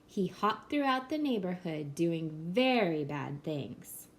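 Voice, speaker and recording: Woman narrator, children's picture book read-aloud, slow and gentle, faint room noise. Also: fairly low pitch, big pitch swings. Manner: slow, gentle storybook reading. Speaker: storyteller.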